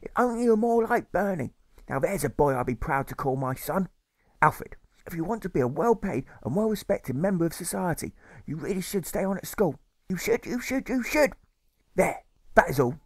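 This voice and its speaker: A man's voice: high voice, lively intonation, normal speed, dry recording, voice pushed up. A man voicing a fretful, doting old mother in an audiobook, theatrical and repeating phrases insistently. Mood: nagging, exasperated. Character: fretful, doting, nagging working-class old English mother. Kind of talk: audiobook character voice.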